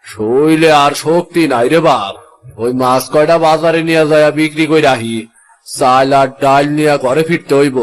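A man's voice: a little high, moderate pace, close to an everyday voice. A man voicing a tired, kindly poor fisherman father with a rural Bangladeshi accent in a cartoon. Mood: weary. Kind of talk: cartoon voice acting.

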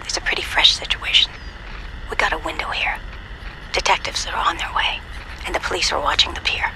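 An actress voicing a hushed, businesslike heist briefer giving clipped, whispery instructions in a video game. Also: female voice, lowish voice, fairly even intonation, fairly quick, gravelly, rough recording. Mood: focused, secretive. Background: music.

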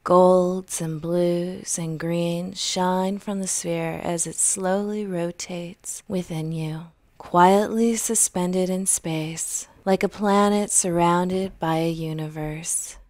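A woman with a slightly low voice leads a guided meditation very slowly. She is gentle and soothing, letting her phrases trail off softly. The sound is close and very dry, as in a scripted voice-over. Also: steady tone, no echo.

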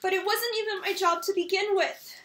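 A woman's voice: very high voice, steady tone, brisk, background noise. A woman playing a hurt, exasperated grown daughter confronting her father in an emotional dramatic monologue, with pleading, indignant emphasis. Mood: defiant, irritated. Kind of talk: emotional acted monologue, self-taped audition. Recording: echoey room.

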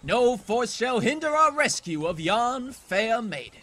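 A man's voice: extremely high pitch, sing-song, unhurried, pushed and bright, slight background noise, heavily acted. A man voicing a pompous, heroic Old West marshal in a grandiose, theatrical way for a fan comic dub. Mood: grandly heroic.

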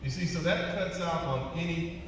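A man's voice: a little high, normal speed. A man on a panel giving practical advice in an emphatic, folksy way, repeating points for effect. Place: on stage in a large auditorium. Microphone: recorded from the audience seats, far from stage. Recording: distant, echoey theater hall with noise.

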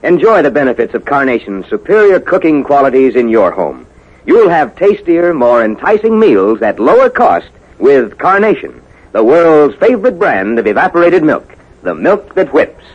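Husky, animated American radio announcer man. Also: mid-range voice, normal speed. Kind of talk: scripted 1950s radio announcing. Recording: muffled 1950s radio recording, music.

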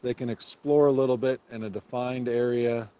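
A man narrating a walk along a nature path in a calm, guiding tone. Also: mid-range voice, normal speed, clear, resonant tone, dull audio. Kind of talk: video narration.

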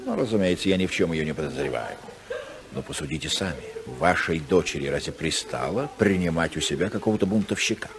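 A man's voice: sits low, animated, fast, vocal fry. A male actor playing a scheming, aggrieved senator in a 1950s radio drama. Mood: sly, aggrieved. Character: smooth, scheming senator, complaining son-in-law. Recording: 1950s radio, murmuring crowd behind.

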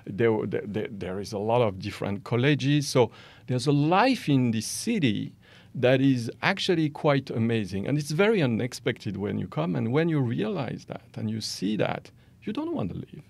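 A man's voice: medium pitch, normal speed, vocal fry, dry recording. A man in his forties from France with a French accent, a guest in a podcast interview, talking with lots of fillers and hand gestures in a studio. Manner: animated, gestures with both hands, hesitant fillers. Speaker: guest, company founder. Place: small dark studio set, seated in armchair. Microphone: studio microphone on boom stand.

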